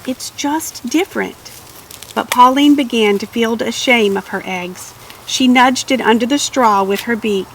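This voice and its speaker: A woman narrating a children's storybook aloud in an expressive, measured read-aloud style. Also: fairly high pitch, a little hiss or hum, poor audio. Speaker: storybook reader.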